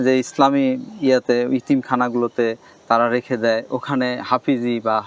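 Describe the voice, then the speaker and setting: A man's voice: mid-range voice, flat delivery, moderate pace, clean tone, highs cut off. A man with a Bangladeshi accent, interviewing a guest in a conversational style.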